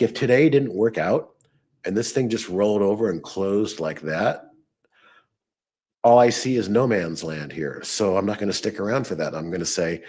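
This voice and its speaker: A man hosting a live trading show, thinking aloud as he works, his voice hoarse and fading. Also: lowish voice, a little mellow. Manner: thinking aloud while working on screen.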